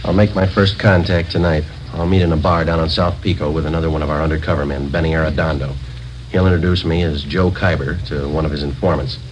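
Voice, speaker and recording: An actor playing a stoic, businesslike police sergeant, narrating and talking in a clipped, deadpan radio drama style. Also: male voice, extremely low pitch, fast talker, slight background noise. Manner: deadpan, matter-of-fact. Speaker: narrator and lead detective. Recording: dull vintage radio recording, loud music.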